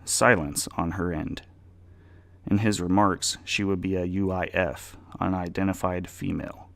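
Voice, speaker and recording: A male narrator reads a story aloud as a dramatic audiobook. His voice is low and creaky, with noticeable fry on the words. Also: steady tone, unhurried, a bit bright. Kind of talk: dramatic reading of scripted fiction.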